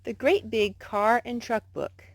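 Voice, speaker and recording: A woman reading picture books aloud for children's storytime in an expressive, deliberate way. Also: fairly high pitch, slight background noise.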